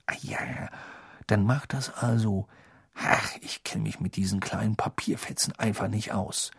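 Guy doing a cheery middle-aged wizard dad, bit lost, voice goes up high. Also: very animated, slow pace, darkish tone, full character voice. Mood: whispering.

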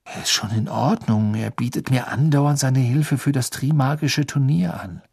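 A man's voice: medium pitch, moderate pace, creaky, darkish tone, breathy edge, no echo, clean studio sound. A man voicing an earnest, straightforward teenage boy in an audiobook, giving plain answers.

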